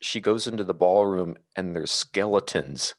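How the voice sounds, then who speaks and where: Lowish guy on a podcast, dry sound, casual chat, kinda halting as he argues his point. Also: no room sound. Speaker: podcast co-host. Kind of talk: casual film discussion.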